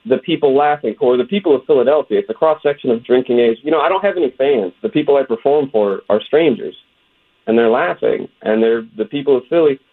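A man's voice: mid-range voice, quick pace, husky. Man talking on a tinny phone line. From West Virginia, USA. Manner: earnest, recounting with quoted back-and-forth. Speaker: guest, comedian. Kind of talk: phone-in interview.

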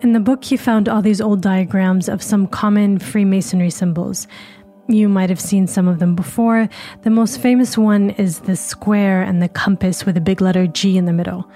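A woman hosting a podcast, reading scripted narration in a measured, even way. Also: medium pitch, faint music behind. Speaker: podcast host.

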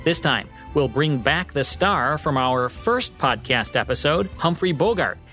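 A man's voice: a little high, animated, unhurried, bright, forceful tone. American man hosting over background music. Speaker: podcast co-host. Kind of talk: scripted narration about old-time radio history, presented as co-host.

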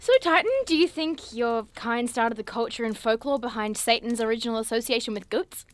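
A woman's voice: on the high side, brisk, lightly acted. A woman playing a curious, earnest and stubborn young scientist in an audio drama, polite but hesitant.

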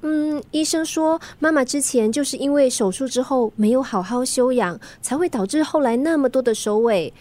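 An actress playing a worried, sensible young daughter in a radio drama. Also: female voice, high voice, moderate pace, hardly any pauses, a little mellow, lightly acted. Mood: explaining. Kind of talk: Chinese radio drama acting.

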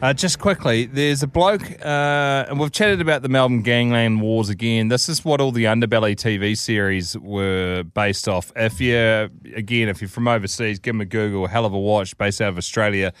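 Jokey New man, smooth voice. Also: lowish voice, steady tone, normal speed, few pauses, fairly bright tone, dry recording, clean studio sound. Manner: laid-back, jokey, swears casually. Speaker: podcast co-host. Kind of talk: casual chat.

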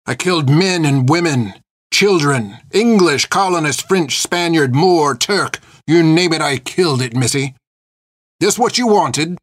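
A man acting out a gruff, haunted old pirate. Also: mid-range voice, moderate pace, dry recording, natural voice. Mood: bitter fury. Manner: folksy, ungrammatical rustic old-sailor talk. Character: old reformed pirate, gruff, haunted, fierce yet tender. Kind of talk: dramatic audiobook character acting.

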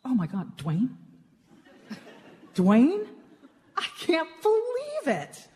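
A woman's voice: high-pitched, huge pitch swings, very slow, dark tone, slight background noise, heavily acted. A woman acting a plucky, earnest widowed small-town mom in a live radio theatre holiday romance parody, with a gushing manner. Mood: amazed. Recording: reverberant hall, live stage.

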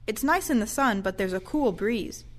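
A woman's voice: mid-range voice, animated, normal speed, natural voice. A woman playing a cheerful, attentive care aide in an audio play, chatty and encouraging.

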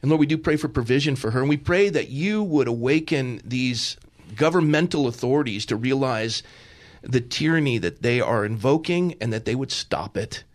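A middle-aged man hosting a talk radio show, talking fervently and pastorally, often repeating himself in quick bursts. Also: mid-range voice, lively intonation.

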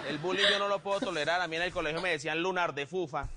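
A man with a Colombian accent telling comic stories in a playful, animated way, acting out bits of dialogue as he goes. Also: a little high, racing, very noisy. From Neiva, Colombia. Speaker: comedian and guest. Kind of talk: comic storytelling, stand-up and banter.

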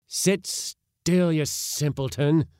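A man's voice: medium pitch, unhurried, gravelly, pushed and bright, dry recording, clean studio sound, pitched above the performer's own voice, full character voice. A man voicing an impatient, bossy elderly uncle in a storybook reading, with a blustering, pompous, exclamatory manner. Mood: impatient, roaring.

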